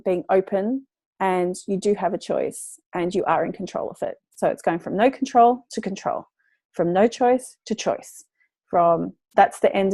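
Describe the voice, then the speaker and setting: A woman's voice: mid-range voice, creaky, darkish tone, dry recording. A woman who is losing her voice, talking in a chatty, encouraging way to parents about teaching children. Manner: chatty, encouraging, lots of fillers. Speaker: parenting educator. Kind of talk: casual talk to parents.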